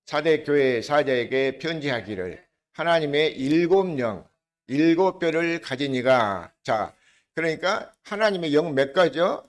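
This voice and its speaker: A man's voice: on the high side, animated. An older man with a regional Korean dialect, preaching a conversational sermon as pastor and often asking the congregation questions. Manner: slows down to lead scripture reading together.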